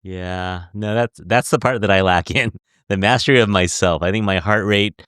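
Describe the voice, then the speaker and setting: A man's voice: fairly low pitch, moderate pace, a bit bright, clean tone, no echo, studio quality. A man hosting a podcast interview, chatty and giggly, asking long rambling questions. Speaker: podcast host. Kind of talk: casual interview.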